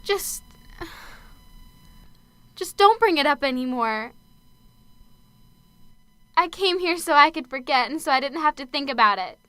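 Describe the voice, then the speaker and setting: Grieving young student, played by a woman, very high and bright, raw and sharp-edged. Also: animated, unhurried, pauses often, no echo, heavily acted. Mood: weary. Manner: raw, bitter, on edge. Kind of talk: amateur radio drama acting.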